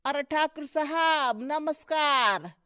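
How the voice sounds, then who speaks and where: Worried cartoon villager man, voiced by a woman, pleading, slow and dry. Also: sits high, pushed and bright, no room sound, heavily acted.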